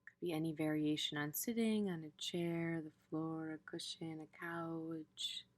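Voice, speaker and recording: A woman teaching a gentle guided meditation in an informal, tentative way, pausing in the middle of her phrases. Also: low voice, fairly even intonation.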